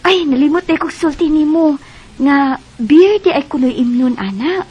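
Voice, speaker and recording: A woman's voice: high-pitched, normal speed, darkish tone, lo-fi sound, lightly acted. A woman playing a chatty, persuasive young friend in a Bisaya radio drama, speaking casually with a Cebuano Filipino accent.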